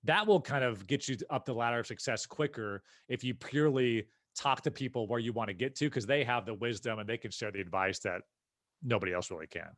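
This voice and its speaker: Male podcast guest, American accent, mid-pitched, emphatic conversational delivery, dry recording. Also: a little fast, fairly bright tone, no room sound. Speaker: podcast guest, company founder and CEO. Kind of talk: casual interview conversation.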